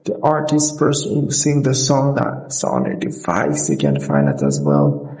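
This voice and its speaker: A man hosts an informal how-to narration for an app. His voice is dark and relaxed with a gravelly fry at the edges. He explains things step by step, repeating himself, and his pitch moves around in a lively way. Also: measured pace. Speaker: host of app tutorial. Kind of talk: informal screen-recorded tutorial narration.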